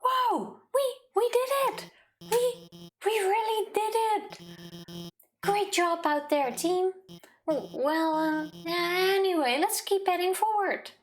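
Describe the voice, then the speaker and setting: Woman voicing a high, stammering, nervous nerdy young scientist. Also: animated, exaggerated voice. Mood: amazed, excited. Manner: stammering, halting delivery. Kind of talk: game character voice acting.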